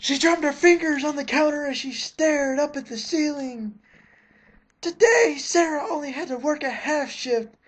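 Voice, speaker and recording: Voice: sits high, normal speed. Theatrical, sarcastic dramatic reader. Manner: theatrical, exasperated, breaks off for snarky remarks. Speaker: reader of fan fiction. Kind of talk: mock dramatic reading with sarcastic asides.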